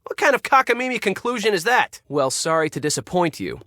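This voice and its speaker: An actor playing a pushy, irritable spa resort manager in a video game, snippy and sarcastic. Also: male voice, sits high, big pitch swings, brisk, pushed and bright, dry recording, studio quality, full character voice. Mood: demanding, indignant. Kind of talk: scripted video game dialogue.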